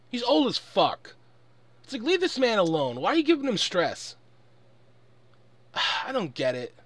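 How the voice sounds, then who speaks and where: Animated, high-voiced man ranting. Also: no room sound. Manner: profane, exasperated, emphatic. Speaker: online commentator recording an audio log. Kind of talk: angry off-the-cuff rant.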